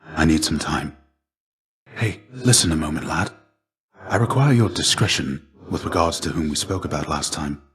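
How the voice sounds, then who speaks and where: A man playing a gentle, melancholy ancient Greek warrior shade and mentor in a video game, speaking in a measured, formal, soft-spoken way. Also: a bass voice. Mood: sad, pleading.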